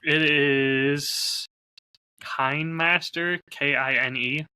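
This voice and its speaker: Low-key man with a very bright voice. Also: fairly even intonation, takes it slowly, smooth. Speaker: podcast co-host and audio drama creator. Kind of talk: casual podcast chat.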